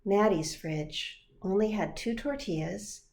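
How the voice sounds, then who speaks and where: A woman narrates a children's story with expression. Her voice is medium in pitch. She reads slowly and deliberately, as a pastor might read to young listeners. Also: vocal fry, darkish tone, a little hiss or hum. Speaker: pastor reading a children's book.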